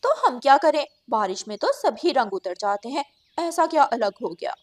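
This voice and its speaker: Woman voicing a high-pitched scolding female crow. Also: animated, moderate pace. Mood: defiant, scolding. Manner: scolding, threatening. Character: aggressive female crow, defiant scolding wife.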